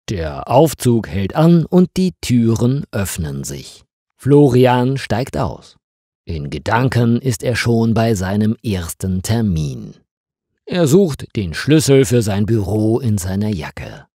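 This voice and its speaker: A man narrating a scripted story for language learners in measured, deliberate phrases. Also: medium pitch, warm tone, breathy edge, dry recording, clean studio sound.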